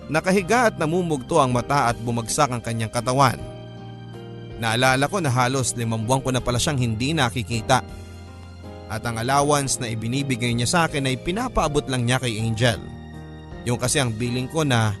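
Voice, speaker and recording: Music plays clearly under a Filipino man's dramatic radio narration. The pitch sits mid-range as he voices a remorseful grown child recounts a family story in the first person. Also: lightly acted. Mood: sorrowful. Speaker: story narrator reading a letter.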